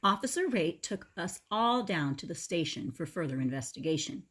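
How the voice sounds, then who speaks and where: A woman's voice: fairly low pitch, big pitch swings, normal speed, fairly bright tone, slightly rough. A woman reading a picture book aloud to young children, narrating expressively and adding chatty asides.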